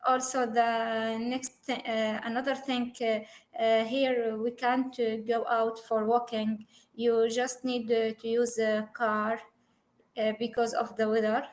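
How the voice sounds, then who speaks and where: Slow, hesitant woman learning English. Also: a little high, level tone. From Syria. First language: Arabic. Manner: hesitant, many pauses and fillers. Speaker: English student. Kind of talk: online conversation lesson. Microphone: video call.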